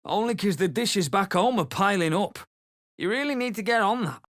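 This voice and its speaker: Blunt, angry young villager for a fantasy game; very expressive pitch, close dry recording. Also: male voice, moderate pace, dry recording. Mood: gruff, wary. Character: rough, angry young peasant man searching for his sister. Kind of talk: video game voice acting.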